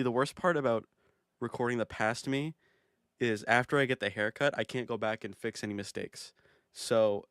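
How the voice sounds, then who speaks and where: A man's voice: mid-range voice, a bit bright, dry recording, clean studio sound. A man casually commenting on his own music video, rambling and self-amused with plenty of fillers. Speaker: video creator.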